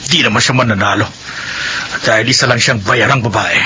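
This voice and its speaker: An actor playing a spiteful, defiant criminal on the run, menacing and sneering, with a Filipino accent in a radio drama. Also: male voice, mid-range voice, normal speed, pushed and bright, a little hiss or hum, close to an everyday voice. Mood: sneering, vengeful.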